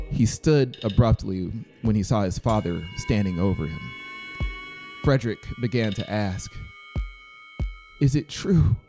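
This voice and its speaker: A man's voice: low voice, mellow. A man in his thirties narrating a dramatic scripted story as an audiobook reader, close to the microphone. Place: small brick-walled indoor studio. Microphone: close handheld-style mic on a stand. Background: faint music.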